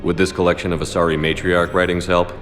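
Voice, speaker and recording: A man's voice: low-pitched, little pitch movement, fast talker, a bit bright, soft background music. A man playing a stern, authoritative space military commander in a video game, terse and dry in his delivery. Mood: businesslike.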